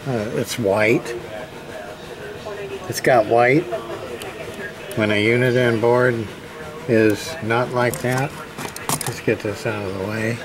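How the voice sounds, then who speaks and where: A man giving a casual hands-on repair demonstration, thinking aloud in a hesitant, halting way as he goes. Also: mid-range voice, steady tone, a crowd in the background. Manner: hesitant, halting, muttering to himself. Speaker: hobbyist radio repair presenter. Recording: clear background room noise.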